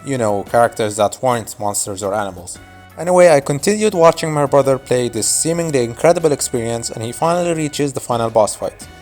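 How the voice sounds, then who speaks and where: A man's voice: medium pitch, expressive, brisk, a little mellow, faint music behind. A man in his twenties whose first language is Arabic, narrating a scripted video essay in a casual, wry, nostalgic way. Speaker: YouTube narrator.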